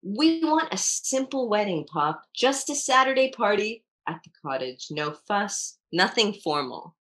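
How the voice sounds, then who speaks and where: A woman voicing a gentle but firm storybook mother in a calm, measured manner. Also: mid-range voice. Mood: gently firm.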